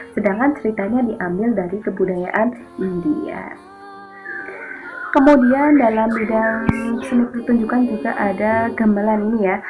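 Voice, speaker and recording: A woman teaching a lesson, explaining step by step in an orderly, didactic way. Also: a little high. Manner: didactic, signposts each point in order. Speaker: history teacher. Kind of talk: explanatory history lesson for students. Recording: thin phone-like audio with music behind. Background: background music.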